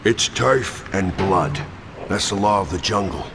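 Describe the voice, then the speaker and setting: A gravelly, swaggering gangster boss. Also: male voice, low-pitched, expressive, a little slow, background noise, exaggerated voice. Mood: boastful, menacing. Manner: boastful, theatrical. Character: swaggering, ruthless, profane American gangster boss.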